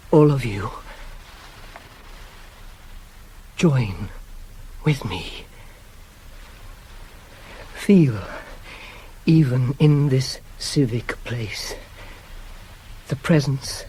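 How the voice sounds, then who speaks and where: Devout chaste young hunter, slow, reverent, incantatory, long pauses, classical radio play, faint tape hiss. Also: male voice, medium pitch, a little mellow, low-quality recording. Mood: solemn reverence. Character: devout chaste young male hunter, rapt goddess worshipper. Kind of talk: classical verse radio drama. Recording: hissy 1980s cassette of radio play.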